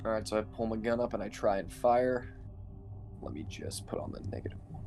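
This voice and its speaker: Male tabletop player, lowish voice, hesitant casual delivery, thinking aloud. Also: brisk, a little mellow, faint music behind. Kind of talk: casual game chat.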